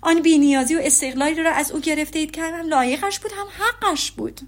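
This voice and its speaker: A woman's voice: high-pitched, lightly acted. A woman reading as a fiery young lady. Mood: indignant. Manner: heated, rising and accusing. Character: spirited young woman, indignant and sharp-tongued.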